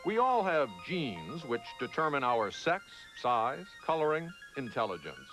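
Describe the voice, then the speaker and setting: A man reading scripted narration for a television news special in a measured, emphatic way. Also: mid-range voice, big pitch swings, a bit bright. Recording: 1980s television on VHS, music underneath. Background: background music.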